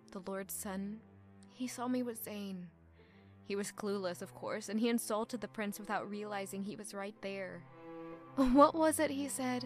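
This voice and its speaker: A woman's voice: mid-range voice, close to an everyday voice. A woman playing a lonely, grieving young woman who speaks wistfully and reflectively in an audio drama. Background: music under the voice.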